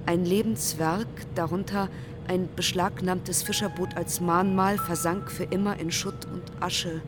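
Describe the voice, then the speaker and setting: A woman's voice: fairly low pitch, steady tone, measured pace, music in the background, close to an everyday voice. A woman acting as a composed, formal, matter-of-fact news reporter in a German radio drama.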